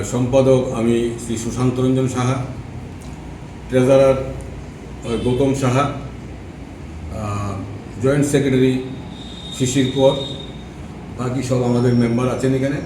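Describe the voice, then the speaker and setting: Halting Tripura Bengali man in an echoey room. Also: mid-range voice, little pitch movement. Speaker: trade association secretary, spokesman. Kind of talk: press conference briefing. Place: press meet in an echoey room. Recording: echoey, distant mic, noisy room.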